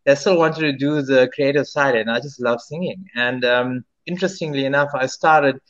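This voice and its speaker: A man's voice: medium pitch, moderate pace, fairly bright tone, dull audio. A South African singer in his thirties, answering interview questions in a rambling way with many restarts. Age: 30s. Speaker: singer-songwriter guest.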